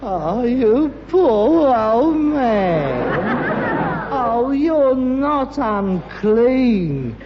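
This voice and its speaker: Gullible London scrap dealer: swooping, emphatic, scornful, putting on airs; echoey old sitcom recording. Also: male voice, very high-pitched, sing-song, very slow, crowd noise, exaggerated voice. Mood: scornful, reassuring. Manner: scornful, emphatic, mock-genteel. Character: argumentative, gullible, aspiring rag-and-bone man, the son. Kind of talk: British sitcom acting. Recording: muffled, noisy, echoey old broadcast recording.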